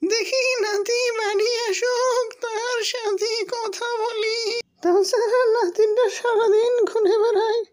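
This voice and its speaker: A doting old hunchbacked granny for a cartoon. The voice is extremely high, thin and quavering, with slow, tender, plaintive delivery. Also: fairly even intonation. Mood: gentle, concerned. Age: older. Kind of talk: cartoon character dub.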